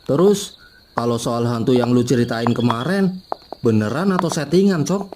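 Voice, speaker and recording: A man's voice: medium pitch, lively intonation, normal speed, background noise, natural voice. A man voicing a brash young male college student in a cartoon, talking in casual slangy banter. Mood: wary. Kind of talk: cartoon voice acting.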